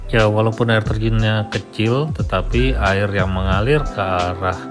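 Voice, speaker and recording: A man narrating a camping and waterfall trip outdoors, explaining calmly with frequent filler sounds. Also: on the low side, measured pace. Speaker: camping vlogger. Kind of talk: travel vlog narration and on-site interview. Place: outdoors at a mountain waterfall and campground. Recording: music playing behind the voice.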